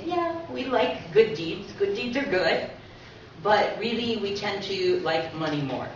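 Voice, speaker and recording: A woman presenting to an audience in a conversational lecture style, with small asides and read-out sayings. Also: fairly low pitch. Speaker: guest speaker presenting a book. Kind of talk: informal public lecture with read-out aphorisms. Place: echoing talk room at a community centre. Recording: echoey hall from far off, muffled, noisy.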